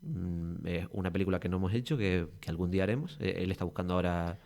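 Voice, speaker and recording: Lower-voiced Canarian man telling stories. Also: fast talker. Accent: Canary Islands Spanish. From Tenerife, Canary Islands. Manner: lively, rambling storytelling with many restarts. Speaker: guest actor. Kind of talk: casual podcast interview.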